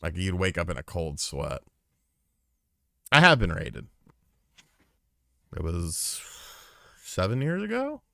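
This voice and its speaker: A man's voice: deep voice, wildly swooping pitch, slow, gravelly, long pauses, fairly bright tone, dry recording, studio quality. A man co-hosting a podcast aftershow, joking and swearing in rambling casual chat. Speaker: podcast co-host.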